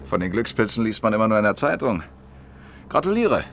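A man's voice: on the low side, very animated, brisk, slight background noise. A man acting a chatty, down-to-earth repairman in a German radio play, colloquial, jovial and blunt. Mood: jovial, probing. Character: chatty down-to-earth washing-machine repairman, eager billiards player. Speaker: radio drama actor.

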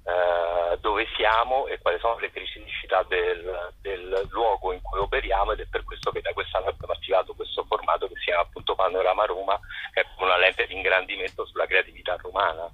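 A man's voice: deep voice, level tone, fairly quick, breathy edge, no echo, narrow, tinny audio. A man answering interview questions as a festival director, explaining at length in a hesitant, discursive way.

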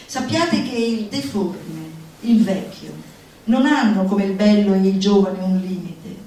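A woman's voice: medium pitch, a little slow, dark tone. A woman stage performer giving a theatrical recitation of a satirical manifesto, declamatory and exhorting with mock-solemn emphasis. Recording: very echoey room, distant mic, faint noise.

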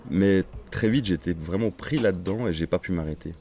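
Very low-voiced Swedish-native man. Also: mellow. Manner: reflective, halting, many restarts. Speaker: crime novelist guest. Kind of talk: interview answers.

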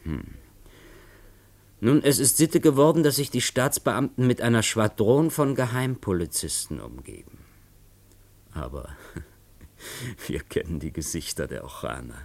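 An actor playing a cold, commanding revolutionary leader giving orders in a radio play. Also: male voice, fairly low pitch, sing-song, gravelly, heavily acted. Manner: measured, authoritative, cold.

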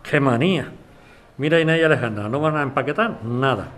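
A man's voice: mid-range voice, brisk, a bit bright, close to an everyday voice. A man playing a reluctant, grumbling husband in an audio drama. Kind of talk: scripted audio drama acting.

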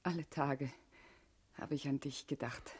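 An actress playing a guarded, urgent female party comrade in a German radio play, speaking gravely and deliberately with a husky, intimate voice. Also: a bass voice, a little mellow, exaggerated voice. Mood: tender.

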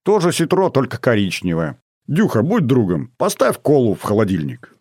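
A man's voice: mid-range voice, wildly swooping pitch, moderate pace, darkish tone, slightly rough, no room sound, pitched above the performer's own voice, heavily acted. Storybook role: cocky, nonchalant teen boy with playful grandeur read by a man, dry sound. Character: confident teenage boy host, suave, mock-playboy nonchalance. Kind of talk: audiobook character voice.

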